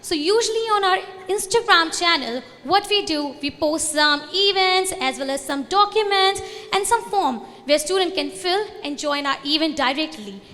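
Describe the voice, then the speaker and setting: A woman's voice: extremely high pitch, expressive, moderate pace, sharp tone. A young woman from India with an Indian accent, for whom Hindi is her first language, giving an earnest conference presentation with loose phrasing and restarts. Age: young adult. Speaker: student organization representative. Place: large convention hall. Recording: reverberant hall.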